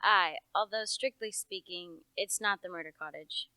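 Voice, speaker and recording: Perempuan muda memerankan polisi desa bersuara terang dan jernih. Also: female voice, on the low side, normal speed, sharp tone, smooth, no echo. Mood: matter-of-fact. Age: young adult. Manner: rustic, knowing, matter-of-fact. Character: folksy, opinionated country police officer. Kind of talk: radio play acting.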